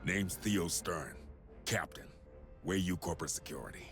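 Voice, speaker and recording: An actor playing a smug, wily, sardonic mercenary captain in a video game, with breathy asides. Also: male voice, low voice, normal speed, fairly bright tone, faint music behind. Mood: sardonic, sly. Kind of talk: video game cutscene acting.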